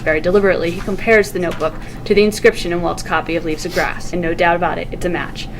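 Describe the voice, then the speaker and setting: Woman, brisk reader, scripted narration of stage directions, noticeable background noise. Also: on the low side, steady tone. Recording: noisy recording with room ambience.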